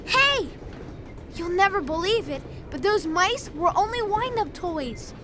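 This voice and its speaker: A woman's voice: extremely high pitch, expressive, unhurried, noisy background. A woman voicing a brave, earnest young boy in a video game, eager and reassuring. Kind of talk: video game cutscene acting.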